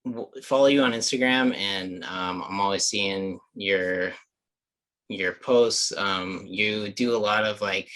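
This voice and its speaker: A man's voice: fairly low pitch, pushed and bright, rough recording. A man in his thirties hosting a casual podcast interview, chatting in an informal, slangy way. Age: 30s. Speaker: podcast host.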